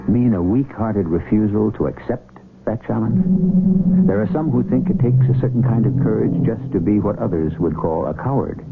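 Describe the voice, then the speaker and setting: A man's voice: on the low side, animated, gravelly, very noisy. A man hosting a radio drama, narrating in a grave, rhetorical and dramatic scripted style. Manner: grave, rhetorical, measured phrasing. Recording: muffled vintage radio, loud music behind.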